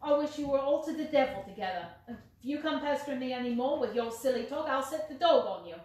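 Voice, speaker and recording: A woman voicing a gruff, hot-tempered male market salesman who shouts and blusters, recorded in a roomy space from a distance with faint hiss. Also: medium pitch, fairly even intonation, slow, lightly acted. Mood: angry.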